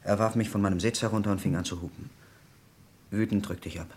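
A fairly low, husky male voice plays an anguished doctor in a German radio crime play. He speaks gravely in short dramatic sentences, like someone insisting on his own guilt. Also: steady tone, fast talker. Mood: grim, confessing.

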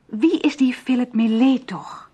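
A woman's voice: on the high side, a little slow, mellow, slightly rough. An actress playing an earnest, puzzled woman in a radio play, hesitating and stammering as she reasons things out. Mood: puzzled, pondering. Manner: hesitant, stammering over words, questioning. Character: earnest, puzzled woman investigating her brother's mystery.

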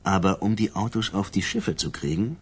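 An actor playing an inarticulate, pushy gangster son in a radio crime drama, halting and aggrieved as he pleads with his father. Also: deep voice, expressive, breathy edge, heavily acted. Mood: halting, nervous.

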